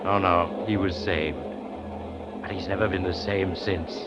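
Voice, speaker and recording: An actor playing a sinister, sly traveler who hosts an old radio mystery show with teasing, ominous narration. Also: male voice, sits low, steady tone, unhurried. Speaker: host narrator. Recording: dull radio recording, loud train noise.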